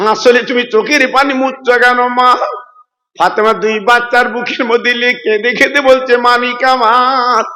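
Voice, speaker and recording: A man preaching a melodic storytelling sermon in an emotive, plaintive, drawn-out chant. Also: very high voice, sharp tone. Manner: drawn-out, emotive, plaintive chanting delivery with direct appeals to listeners. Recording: hall-like long reverb.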